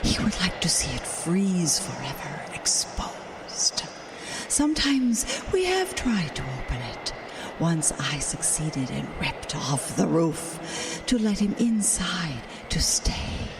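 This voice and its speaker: A woman playing the living Wind, a furious, scornful storm spirit in a horror audio drama, ominous and breathy. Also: sing-song, full character voice. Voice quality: low, breathy, drops into whispers. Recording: distant mic amid rushing storm noise.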